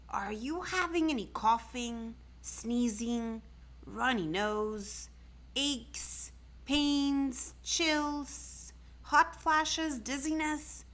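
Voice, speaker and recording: Lady doing a fussy puzzled man doctor from a kids book, kinda formal, slow and careful. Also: high-pitched, fairly bright tone, pitched above the performer's own voice. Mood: astonished. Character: baffled, fussy male family doctor. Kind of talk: storybook read-aloud.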